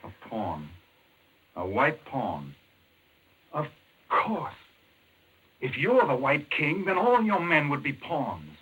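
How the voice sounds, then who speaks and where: An actor playing an intense, urgent doctor in a 1940s American radio mystery, explaining things emphatically. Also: male voice, a little high, huge pitch swings, takes it slowly, pauses often, fairly bright tone, husky, full character voice. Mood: tense, thoughtful. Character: intense, obsessed male chess-playing doctor explaining a madman's scheme. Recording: muffled 1940s radio recording.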